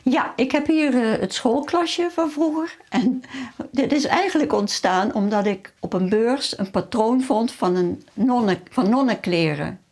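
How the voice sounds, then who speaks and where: A woman's voice: fairly high pitch, lively intonation, a little slow, darkish tone. A middle-aged woman talking about her hobby and memories in a personal interview, as a hobbyist. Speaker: miniature-making hobbyist being interviewed.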